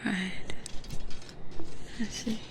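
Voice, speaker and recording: An Australian woman voicing an alluring, eerily fascinated soul-eater doctor, hushed and lingering, in a whispered ASMR roleplay. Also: noisy background. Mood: quietly welcoming. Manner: hushed, lingering, hesitant pauses. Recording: loud music under the whispered voice. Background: loud music.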